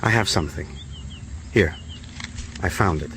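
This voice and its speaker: Deep-voiced, kindly wartime comrade. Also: male voice, a little slow, background noise. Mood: gentle sympathy. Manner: courteous, understated. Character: kind, courteous old soldier comrade, freed from captivity. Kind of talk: radio drama acting.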